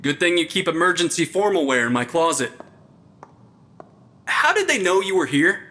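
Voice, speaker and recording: A man's voice: on the high side, big pitch swings, moderate pace, bright, forceful tone. A young man playing a wry, nerdy, jittery investigator in a supernatural comedy audio drama, with dry, quippy banter. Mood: uneasy, wry. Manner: dry, quippy, slightly pompous.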